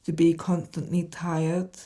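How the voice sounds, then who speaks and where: A woman speaking reflectively and haltingly in a documentary, with deliberate pauses. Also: lowish voice, flat delivery, warm tone. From England. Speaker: deaf poet. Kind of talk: reflective spoken documentary, with read poetic lists.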